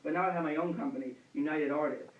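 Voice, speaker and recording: A man's voice: a little high, fairly even intonation, fast talker, highs cut off, noisy background, close to an everyday voice. A young man playing a cheerful, self-assured 1920s film star in a scripted radio interview. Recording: echoey room, distant mic, dulled.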